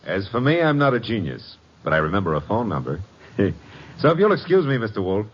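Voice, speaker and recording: A man's voice: on the low side, big pitch swings, brisk, a little hiss or hum. An actor playing a cocky, wisecracking American legman in a radio detective drama, breezy, flippant and wry. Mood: amused. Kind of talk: 1940s-50s radio detective acting. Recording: dull old radio recording.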